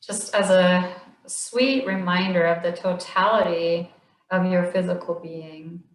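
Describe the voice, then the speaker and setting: A woman slowly leading a guided body scan meditation in a gentle, soothing voice with long pauses. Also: lowish voice, steady tone, reverberant, poor audio. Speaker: meditation instructor.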